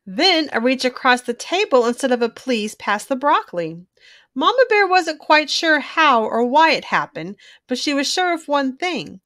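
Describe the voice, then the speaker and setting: A woman reading a children's storybook aloud in an expressive, emphatic way, drawing out key words. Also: sits high, moderate pace. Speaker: children's book reader.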